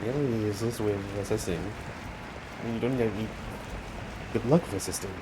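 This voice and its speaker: A man's voice: fairly low pitch, a little fast, noisy background. A man giving casual commentary as he streams a game playthrough. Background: game music.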